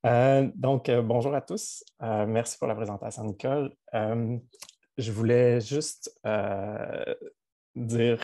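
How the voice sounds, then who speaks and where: A man's voice: medium pitch, creaky, warm tone, husky, dry recording. A man with a Québécois accent, a college physics teacher giving a webinar presentation over a video call, hesitant with many fillers. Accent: Québécois French. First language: French.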